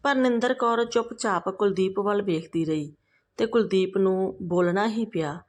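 A woman's voice: medium pitch, normal speed. A woman reading a scripted family moral story as a storyteller.

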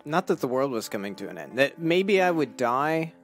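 A young man chats casually as a co-host on a podcast. His voice sits at an average pitch, with soft music underneath. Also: big pitch swings, moderate pace. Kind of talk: casual chat.

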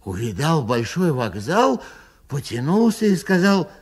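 A man reading a children's rhyming poem aloud in a sing-song, lively way. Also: a little high, unhurried, husky. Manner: lively, sing-song delivery with a recurring refrain. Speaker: narrator.

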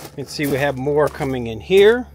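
A man's voice: on the high side, expressive, normal speed, a little mellow, a little hiss or hum. A man in his fifties giving a casual, plain-spoken instructional walkthrough as a vlog host, recorded outdoors on a handheld camera. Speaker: vlog host showing a home build. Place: outdoors at a concrete foundation construction site. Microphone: handheld camera at arm's length.

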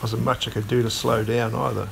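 A man, narrating his sailing trip in a relaxed, plain-spoken voice-over. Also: medium pitch, fairly quick, vocal fry, slight background noise. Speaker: sailing vlogger.

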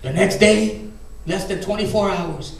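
An older man preaching a fervent sermon as pastor, often shouting and filling his speech with hallelujahs. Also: high-pitched, slow, creaky. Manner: gestures broadly while preaching at a lectern. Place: church sanctuary, indoors, medium-sized. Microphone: handheld mic, filmed by a far camera. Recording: very echoey church hall, distant camera.

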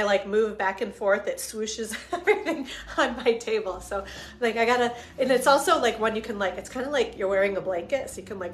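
A woman in her forties, a bit hoarse from a cold, chattily demonstrating craft supplies on a home live stream and greeting viewers by name. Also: a little high, a little hiss or hum. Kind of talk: casual live-stream demo. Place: living room at home.

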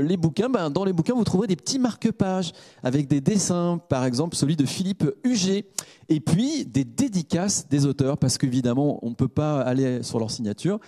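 A middle-aged man hosting a studio show about books, chatty and relaxed, with many fillers, into a handheld mic. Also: fairly high pitch. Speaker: host. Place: small studio set with bookshelves.

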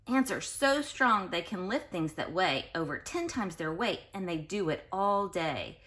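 A woman reading a children's picture book aloud in an expressive, sing-song way, speaking directly to young listeners. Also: fairly low pitch. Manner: pauses to explain and question listeners directly. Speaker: children's read-aloud host.

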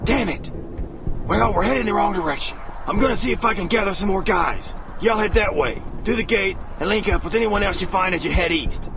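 A gruff army officer. He speaks tersely and with folksy authority, irritable but controlled, over loud battle ambience in a war game. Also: high-pitched, brisk, lo-fi sound. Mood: gruff, commanding. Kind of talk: low-key war game dialogue. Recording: noisy night outdoor battle ambience.

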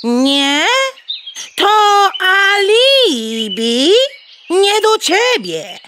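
A haughty, nagging mother hen lectures and clucks through a children's radio play. The high voice swoops widely and takes its time over indignant phrases. Birdsong and clear background noise surround it. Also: big pitch swings, extremely slow, full character voice. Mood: lecturing. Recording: bird chirps and noise behind. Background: birdsong, farmyard ambience.

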